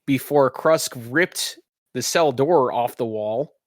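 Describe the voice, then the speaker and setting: A man runs a tabletop roleplaying game as host, describing scenes with wry asides. His voice is recorded close and dry, at a middling pitch, over faint music. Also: takes it slowly, no echo. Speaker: game master and podcast host.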